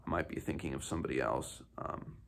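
A man in his twenties giving a casual, unscripted book discussion, halting and thinking aloud as he goes. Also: rumbling low, vocal fry, a bit bright, slight background noise. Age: 20s. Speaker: book reviewer, host of a read-along. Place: small home room, indoors.

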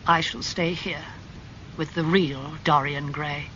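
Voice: mid-range voice, sing-song, normal speed, bright, forceful tone, dull audio, slight background noise, pitched above the performer's own voice. A reader voicing a devoted male painter who speaks earnestly and with pained feeling to his friends.

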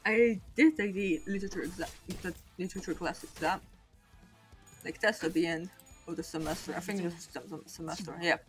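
Polish accented young woman streaming a game, rambly, pokes fun at herself, soft music underneath. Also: lowish voice, a little fast. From Poland. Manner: rambling, self-mocking, laughs often between thoughts. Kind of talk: casual chat over gameplay.

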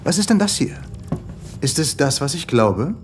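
An actor playing a suave, courteous and mysterious male visitor in a comedic sci-fi audio drama, flattering and presumptuous. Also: on the high side, moderate pace, over music. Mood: charming, flattering. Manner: smooth-talking, courteous, presumptuous.

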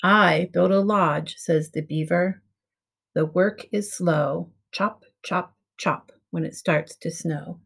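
A middle-aged woman reading a picture book aloud to young children in simple, measured storybook phrasing. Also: lowish voice, creaky, a bit bright, slightly rough. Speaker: preschool teacher reading aloud. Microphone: webcam at arm's length.

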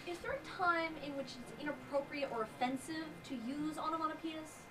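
High-pitched female audience member, playful, heard from far off with hiss. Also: a little fast, bright, forceful tone. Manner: playful questioning. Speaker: audience member asking questions. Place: hotel function room. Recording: distant voice with clear room hiss.

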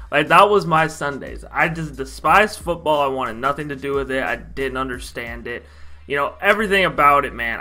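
A man's voice: on the high side, pushed and bright. A young man from Idaho with an American accent, casually telling personal stories to camera in a chatty, showman-like way. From Idaho, United States. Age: young adult. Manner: chatty, addresses viewers like a showman. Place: small room at home.

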